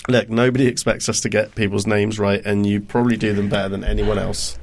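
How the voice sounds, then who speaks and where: A young man co-hosting a casual podcast chat, teasing his co-host and pausing often on "uh". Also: on the low side, level tone, gravelly, a little hiss or hum. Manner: teasing, hesitant with fillers.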